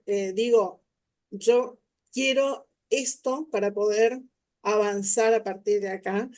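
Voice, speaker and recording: A woman's voice: a little high, mellow. A woman moderating an academic talk over a video call, hesitant, with many fillers and restarts. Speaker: symposium moderator.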